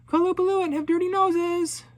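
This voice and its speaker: A man voicing a bold, boastful young girl in a high, eager voice. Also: brisk. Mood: shouting. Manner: high, loud and excited, cheeky.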